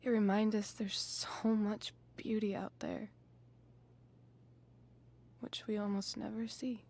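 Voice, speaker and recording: A woman playing a disillusioned, hurt teenage girl in a video game, speaking softly and pensively, often close to a whisper. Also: mid-range voice, flat delivery. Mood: quietly wistful. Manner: slow, halting, pensive delivery. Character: disillusioned, wounded teenage girl confiding in her friend. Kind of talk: naturalistic video game voice acting.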